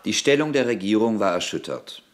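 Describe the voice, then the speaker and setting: An actor as the matter-of-fact narrator of a historical radio drama, telling it in literary prose. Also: male voice, lowish voice, very animated, a little fast. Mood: factual storytelling. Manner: factual, literary storytelling.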